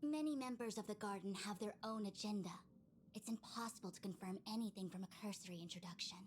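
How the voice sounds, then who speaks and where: A woman's voice: medium pitch, fast, a little hiss or hum, natural voice. An actress playing a composed, authoritative young woman seer in a video game, speaking formally and solemnly. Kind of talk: scripted game voice acting.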